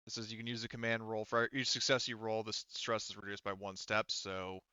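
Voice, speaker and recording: A man talking casually as game master of a tabletop role-playing game, explaining rules haltingly as he checks them, in slightly dull voice-chat audio. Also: on the low side, level tone, bright, forceful tone, smooth, no room sound.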